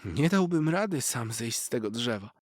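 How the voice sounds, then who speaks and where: A man's voice: mid-range voice, big pitch swings, normal speed, no echo, clean studio sound, voice pushed up. A man voicing a very young male cat from a children's story, proud, boastful and easily offended. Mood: humbled, sheepish. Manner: boastful, indignant.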